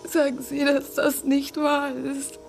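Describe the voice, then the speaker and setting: A woman playing a shattered, stubborn mother in a radio drama, speaking haltingly in a husky voice that often drops near a whisper. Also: high voice, flat delivery, soft background music, exaggerated voice. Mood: pleading. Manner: halting, short numb sentences. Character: shattered mother told of her daughter's death, stubborn, disbelieving. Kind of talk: literary radio drama acting.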